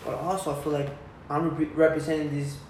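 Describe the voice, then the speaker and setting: A man's voice: a little high, little pitch movement, fairly quick, darkish tone, heavy reverb, a little hiss or hum, low-quality recording. A young man who cooks and boxes, chatting casually and filling every sentence with dude and bro. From USA. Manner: laid-back, says dude and bro constantly. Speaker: cook and boxer. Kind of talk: casual chat.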